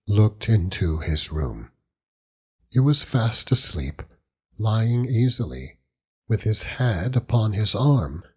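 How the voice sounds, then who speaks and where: Guy reading an old novel, dramatic, slightly husky, takes his time, lots of pitch movement. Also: a little mellow. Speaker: audiobook narrator. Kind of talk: dramatic literary narration.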